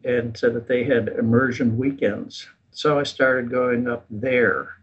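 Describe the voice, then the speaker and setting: Husky older man telling his story. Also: medium pitch, takes it slowly. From United States. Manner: relaxed, self-deprecating, chuckles at himself. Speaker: guest. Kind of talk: casual interview storytelling. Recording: dull lo-fi recording.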